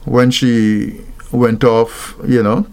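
An older man from Guyana speaks in a slow, reflective way about marriage and equality. His voice has a gravelly, creaky edge, and he often stops, restarts, and fills gaps with soft hesitations. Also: mid-range voice, level tone. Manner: thoughtful, halting, many fillers and restarts.

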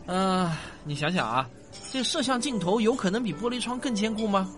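A man acts an indulgent father in a sci-fi radio drama, his voice fairly high and swinging widely. He coaxes and exclaims affectionately, with music playing clearly behind him. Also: lively intonation, moderate pace. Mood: patient explaining. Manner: coaxing, exclamatory, softened with sighs and particles. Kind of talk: science-fiction radio drama acting.

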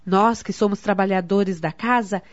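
A woman's voice: mid-range voice, moderate pace, lightly acted. A woman with a Brazilian accent playing a devout, proud spiritist in a radio soap opera, speaking in an earnest, formal, didactic way. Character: devout, proud, helpful spiritist daughter of a matron. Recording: dull lo-fi radio drama.

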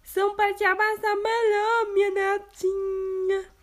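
A woman's voice: extremely high pitch, monotone, measured pace, voice pushed up. A woman voicing a sly male wolf in a children's storybook reading, coaxing in a faked sweet voice. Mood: sweetly sly.

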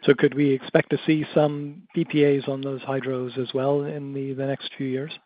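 A man's voice: medium pitch, little pitch movement, normal speed, dry recording. A man asking questions as a financial analyst dialed in to an earnings call. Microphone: telephone dial-in. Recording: tinny, narrow, dry phone line.

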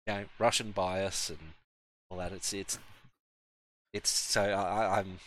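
A man with a somewhat low voice hosts a relaxed podcast chat. He talks in a laid-back way and often repeats words as he gets each sentence started. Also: a little slow. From Australia. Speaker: podcast host.

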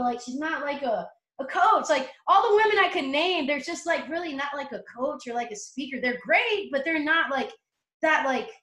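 A woman's voice: high voice, fairly bright tone, roomy sound. A woman guest on a casual podcast interview, talking brashly and confidently and swearing freely. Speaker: interview guest, motivational coach.

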